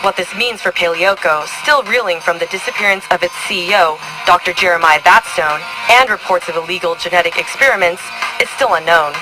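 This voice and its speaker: A woman playing a measured news anchor. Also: on the low side, music in the background, close to an everyday voice, poor audio. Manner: measured, formal delivery. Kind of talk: news report.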